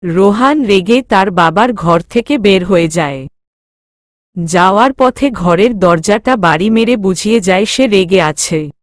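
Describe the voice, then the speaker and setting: A woman's voice: mid-range voice, lively intonation, a little slow, dry recording, studio quality. A woman reading scripted narration of a romantic drama story as a storyteller.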